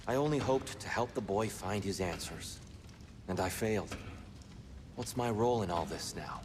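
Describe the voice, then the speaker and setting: An actor playing a gentle, defeated, self-doubting god in a video game, sombre and formal in speech. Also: male voice, on the low side, animated, moderate pace, over music, close to an everyday voice. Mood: weary, doubtful. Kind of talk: video game drama acting.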